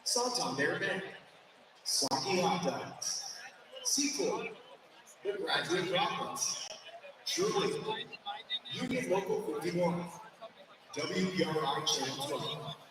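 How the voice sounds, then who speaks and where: Male arena PA announcer reading a list, animated pitch, very echoey noisy hall. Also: takes it slowly, pauses often, recorded from a distance. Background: arena ambience and noise.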